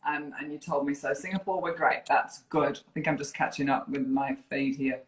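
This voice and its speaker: A woman's voice: sits low, steady tone, fairly quick, a little hiss or hum. Echoey, playful northern English woman. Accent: northern English, softened by years in New Zealand. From Yorkshire, northern England. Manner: playful, teasing. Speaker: fitness program director, interview guest. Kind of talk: casual live chat, reading viewer comments. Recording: roomy, echoey live online video chat.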